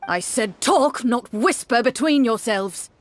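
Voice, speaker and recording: Brief: female narrator playing battle-worn captain of the guard, gruff authority, suspicious, dry sound. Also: a little high, expressive, a little slow, no echo, pitched above the performer's own voice. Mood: commanding.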